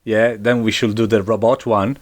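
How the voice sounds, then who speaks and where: A man's voice: lowish voice, flat delivery, moderate pace. A middle-aged man with an Italian accent, chatting casually on a podcast as a father, blunt and laughing often. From Italy. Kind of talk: casual chat.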